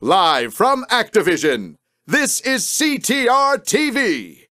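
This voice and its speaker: A man playing a booming, excited TV show announcer delivering a show intro. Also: slow pace, no echo, clean studio sound, exaggerated voice. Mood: bombastic.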